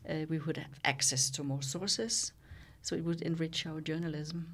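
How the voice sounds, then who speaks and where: A Danish woman in her sixties, a journalist talking thoughtfully in an interview, pausing and restarting as she reflects. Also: low voice, fairly even intonation. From Denmark. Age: 60s. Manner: thoughtful, with frequent pauses and restarts. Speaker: guest, journalist and founder.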